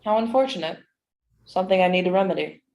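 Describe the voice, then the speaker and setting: A woman's voice: lowish voice, fast talker, a little mellow, muffled recording, close to an everyday voice. Woman acting a cold, imperious female doctor. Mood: haughty. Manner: clipped, formal, haughty. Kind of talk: staged play reading.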